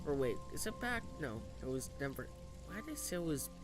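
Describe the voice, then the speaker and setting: A man's voice: a little high, very animated, brisk, music in the background. A young man from the US East Coast commentating casually on a game, hesitant with many fillers, his voice a little off from coughing. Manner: hesitant, lots of fillers and restarts. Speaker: game streamer.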